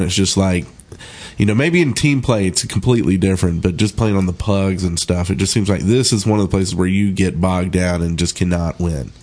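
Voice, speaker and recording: Low-voiced man in casual gaming talk. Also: a little mellow. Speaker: podcast panelist. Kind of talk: casual game discussion.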